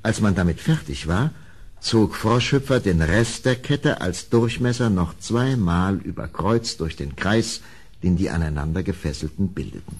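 A man's voice: low-pitched, lively intonation, moderate pace, breathy edge. A man narrating a horror audio play as dramatic scripted storytelling.

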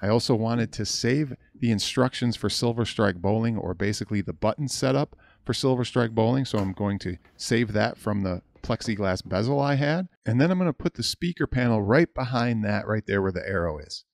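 American man, middle-aged, hobbyist how-to voice-over. Slightly low pitch, very dry sound, matter-of-fact delivery. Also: animated, brisk, creaky, few pauses, dry recording. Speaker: hobbyist video host. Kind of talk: explanatory DIY voice-over.

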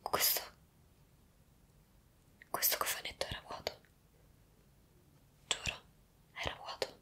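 Woman acting a bewildered time traveller, slow whisper. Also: pushed and bright. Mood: bewildered, wondering. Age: young adult. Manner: hesitant, halting, wondering. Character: bewildered young woman magically transported to the past. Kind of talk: whispered Italian ASMR roleplay.

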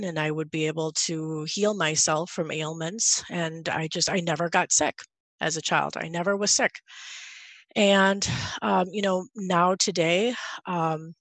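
A woman's voice: on the low side, fairly bright tone, no room sound. A woman in her thirties, from Guatemala, speaking earnestly as a guest over a video call with a headset, with many fillers. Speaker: guest, podcaster. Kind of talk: casual video-call interview. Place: home room indoors.

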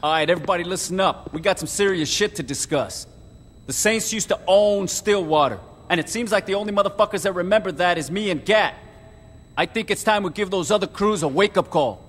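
Tough gang boss in echoing hall. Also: male voice, sits high, brisk, a bit bright, a little hiss or hum. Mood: commanding, rallying. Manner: terse, profane street talk. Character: tough, blunt, commanding male street gang boss. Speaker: voice actor in a video game. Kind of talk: video game cutscene acting.

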